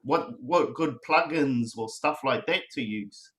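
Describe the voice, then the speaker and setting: A man in his thirties with a New Zealand accent, chatting casually as a guest about making beats, relaxed and rambling with many fillers. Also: mid-range voice, takes it slowly, a crowd in the background. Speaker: beatmaker guest. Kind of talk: casual online chat.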